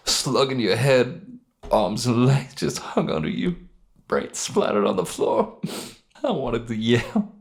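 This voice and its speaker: An anguished male criminal, acted out by a man reading a story, pours out guilt and grief for his brother. The voice is pushed up well above a normal talking pitch, halting, pleading and raw with emotion, as in a dramatic audio story. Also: creaky, exaggerated voice.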